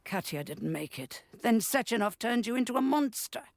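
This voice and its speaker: A woman's voice: fairly high pitch, lively intonation, normal speed, vocal fry, no echo. A woman playing a bitter, sardonic, foul-mouthed old granny who scolds bluntly, as acted in a video game cutscene. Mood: bitter, commanding. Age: older.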